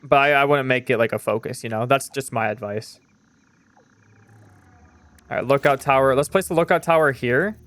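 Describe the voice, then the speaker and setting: A man's voice: medium pitch, moderate pace, pauses often, fairly bright tone. A young man streaming a game and chatting with viewers in a loose, rambling way, full of fillers and casual swearing. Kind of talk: casual live-stream chat while gaming.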